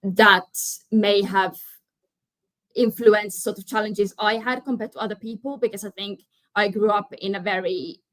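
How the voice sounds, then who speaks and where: A woman's voice: medium pitch, fairly even intonation, normal speed, a bit bright, dry recording, poor audio. Earnest young woman from Finland, dry sound. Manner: earnest, many restarts and "you know". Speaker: guest. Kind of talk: casual interview chat.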